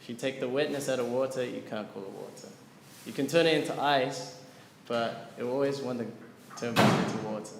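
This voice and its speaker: A man gives a scripture class, explaining patiently and turning to his listeners with questions. His voice sits at an ordinary middle pitch. It rings through a large, echoey hall with a long reverb tail and faint room ambience behind it. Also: a little fast, creaky, hardly any pauses, background noise. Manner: earnest teaching, asks audience questions. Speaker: lecturer on scripture. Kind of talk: devotional class with chanting.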